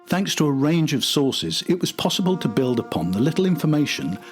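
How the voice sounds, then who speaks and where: A man's voice: on the high side, sing-song, fairly quick, soft background music. An older man narrating a documentary from a script.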